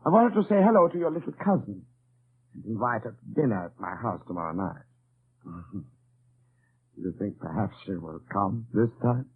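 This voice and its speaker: An actor playing a suspicious, oily German wartime commandant in an old-time radio drama, falsely courteous and insinuating. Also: male voice, lowish voice, very animated, moderate pace, breathy edge. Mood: smug, probing. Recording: muffled, tinny old radio recording.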